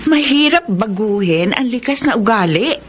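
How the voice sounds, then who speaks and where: A woman's voice: a little high, animated, normal speed, slight background noise, close to an everyday voice. A woman with a Filipino accent acting a sensible, chiding older sister who gives advice in a radio drama. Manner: chiding, matter-of-fact.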